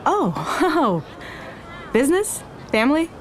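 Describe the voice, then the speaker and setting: An actress playing a blunt, teasing young Mexican-American restaurateur in a naturalistic audio drama. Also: female voice, high-pitched, big pitch swings, slow pace, a little hiss or hum, full character voice, low-quality recording. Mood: startled. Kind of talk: naturalistic fiction podcast acting.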